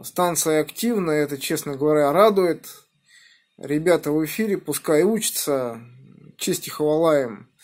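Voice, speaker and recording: A middle-aged man from the Moscow region talking as an amateur radio operator in a measured, deliberate, encouraging manner. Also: fairly high pitch, lively intonation. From Moscow region, Russia. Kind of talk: ham radio contact, spelling out callsigns phonetically. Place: indoors at a home radio desk by a window. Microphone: phone filming the radio.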